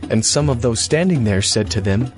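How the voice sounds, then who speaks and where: A man narrating a dramatized scripture reading in a steady, even way. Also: mid-range voice, normal speed. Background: music.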